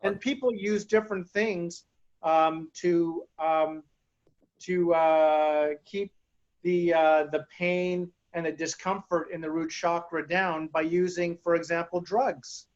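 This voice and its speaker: A man's voice: a little high, fairly even intonation, slow, muffled recording. A man explaining grounding and chakras in a casual, hesitant way, full of fillers and restarts, over a video call. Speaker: guest speaker on grounding and chakras.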